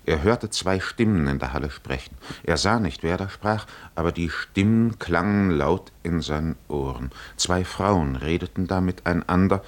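A man narrating a 1950s German radio drama as a measured, solemn literary storyteller. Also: deep voice, close to an everyday voice. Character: solemn male storyteller of an epic.

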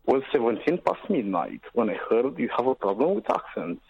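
Man, Romanian accent, radio caller, earnest, husky, muffled phone sound. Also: mid-range voice, big pitch swings, fairly quick, dry recording. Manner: polite, earnest, then apologetic. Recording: dull phone-line sound.

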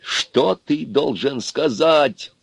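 A man's voice: medium pitch, drawn-out words, creaky, husky, dry recording, voice pushed up, exaggerated voice. A man voicing a domineering, blustering middle-sized bully of an interrogator in a story, barking and lecturing insistently. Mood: commanding, insistent.

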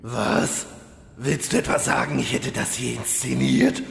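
Man as gravelly, vain villain in cavernous reverb. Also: unhurried, crowd noise. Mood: indignant boasting. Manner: boastful, indignant. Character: vain, irritable raid boss villain. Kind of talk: parody audio drama acting. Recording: long hall reverb, rumbling noise.

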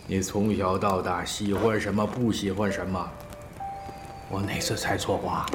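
A man's voice: sits low, level tone, moderate pace, close to an everyday voice. A man playing a blunt, teasing guardian in an audio drama, with a coarse, needling way of talking. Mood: teasing, prodding. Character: blunt, teasing male guardian of a younger friend. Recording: loud music under the voice.

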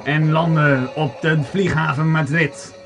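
A man narrates a homemade radio play in a scripted storytelling style. His voice sits fairly high, and music plays clearly along with him on the amateur recording. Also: fairly even intonation, slow.

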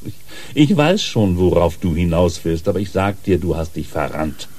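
An actor in a radio crime drama playing an officious, condescending police superior who lectures in a husky voice. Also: male voice, deep voice, very animated, normal speed, a little mellow. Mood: irritated, stern.

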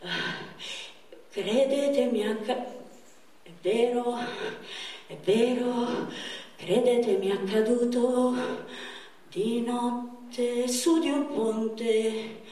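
A woman playing an anguished 31-year-old man in a theatrical monologue that moves between halting, repetitive speech and impassioned song. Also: a little high, steady tone, dark tone. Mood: halting, pleading. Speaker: stage actress. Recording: echoey, distant stage recording with music.

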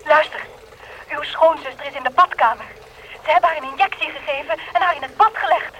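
Woman acting a frantic telephone informant, high voice, pleading, very noisy radio drama recording. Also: fairly quick, natural voice. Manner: urgent, pleading. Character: frantic anonymous female caller warning of danger. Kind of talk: Dutch radio thriller serial acting.

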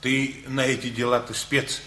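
An actor playing a blunt, henpecked working-class husband in a radio play, grumbling and joking in a colloquial way. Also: male voice, mid-range voice, flat delivery, normal speed, pushed and bright, natural voice.

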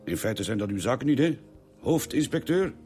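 An actor playing a slippery ex-convict restaurant boss with a Flemish accent in a radio drama, mockingly friendly and sarcastic. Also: male voice, mid-range voice, very animated, moderate pace, darkish tone, soft background music, close to an everyday voice. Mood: defensive. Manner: smooth-talking, sarcastic.

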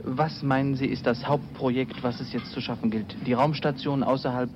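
Male interviewer, medium pitch, formal questioning, muffled and noisy archive recording. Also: steady tone, moderate pace.

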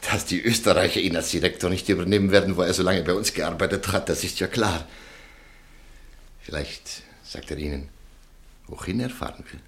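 An actor playing a calm, soft-spoken male extortioner who coaxes and pressures in a measured way, in a radio play. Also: sits low. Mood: coaxing. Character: smooth, calm male extortioner named Walter. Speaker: radio drama character.

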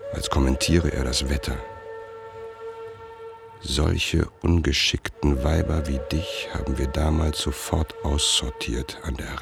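A man acting as a brooding, suspicious middle-aged writer, narrating a radio play in the first person with a gravelly, measured, introspective delivery. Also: extremely low pitch, full character voice. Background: music.

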